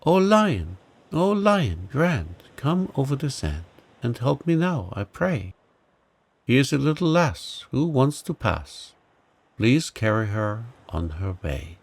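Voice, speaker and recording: A man voicing a small, kindly, helpful mouse in a storybook reading, speaking chirpily and briskly. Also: medium pitch, very animated, creaky, voice pushed up, heavily acted. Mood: singsong.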